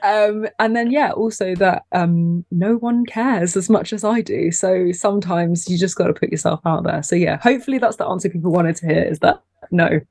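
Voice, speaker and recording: A woman's voice: lowish voice, moderate pace, no room sound. Podcast guest, woman: soothing voice, self-deprecating, laughs easily, dry close mic. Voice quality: soft, soothing voice. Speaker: guest, content creator. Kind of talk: casual podcast conversation.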